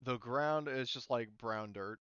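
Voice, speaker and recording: A man's voice: mid-range voice, a little slow, fairly bright tone, smooth, dry recording, muffled recording. A man narrating a tabletop roleplaying game as game master in a casual, informal way, thinking aloud as he goes.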